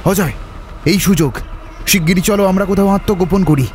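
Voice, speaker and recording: A man's voice: sits high, fairly quick, darkish tone, soft background music, natural voice. A man playing a brave, level-headed young adventurer in a dramatic audio story, resolute and reassuring. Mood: urgent, resolute. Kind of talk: Bengali audio story acting.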